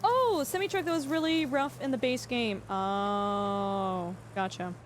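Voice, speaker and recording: A woman's voice: fairly high pitch, a little slow, slight background noise. A woman livestreaming a game, chatting casually with viewers in a rambling way, restarting her sentences and laughing easily. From Canada. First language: English. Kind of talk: casual chat with viewers while playing.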